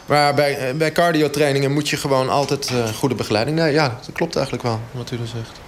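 An actor playing a flustered, evasive gym worker who haltingly corrects himself in a mock radio reportage. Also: male voice, medium pitch, steady tone, close to an everyday voice. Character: flustered, hesitant male gym worker, stammering excuses.

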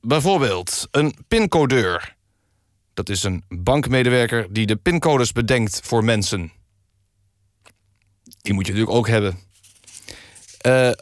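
A man presenting a mock-serious radio magazine in a deadpan manner. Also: medium pitch, dry recording, clean studio sound. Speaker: radio host. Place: radio studio.

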